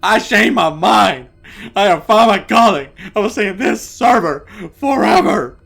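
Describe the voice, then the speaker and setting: A man voicing a frantic, whiny, lustful young man in a comedic animated story, pleading and yelling excitably. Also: sits high, slow, gravelly, soft background music, voice pushed up, exaggerated voice. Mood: frantic protest.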